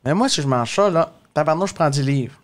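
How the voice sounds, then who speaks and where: A man's voice: on the high side, expressive, brisk. A man with a Québécois French accent chatting casually, colloquial and full of questions. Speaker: co-host. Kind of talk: casual chat. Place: small radio studio, indoors.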